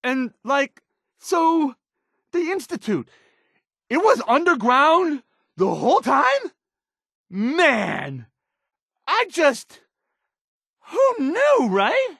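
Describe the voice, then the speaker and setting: Nervous, high-pitched, bumbling radio newscaster. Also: male voice, wildly swooping pitch, extremely slow, creaky, long pauses, fairly bright tone, no room sound, clean studio sound, heavily acted. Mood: nervous amazement. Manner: hesitant, stammering, full of ums and trailing-off. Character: nervous, awkward young male radio newscaster, unsure and bumbling. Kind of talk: in-game radio news acting.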